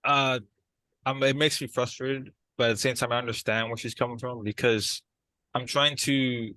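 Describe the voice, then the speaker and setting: Guy acting as unsure frustrated brother in therapy, halting, tense bright voice, very dry sound. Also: mid-range voice, no room sound, lightly acted, low-quality recording. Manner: hesitant, halting. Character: frustrated, unsure brother struggling to keep a job. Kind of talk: dramatized therapy session, conversational.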